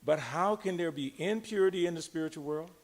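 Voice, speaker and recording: Older male religious teacher, somewhat high voice, informal discourse with rhetorical questions and stretched-out emphasis. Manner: conversational, emphatic, slowing and drawing out key words. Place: speaking live to a gathering in a temple.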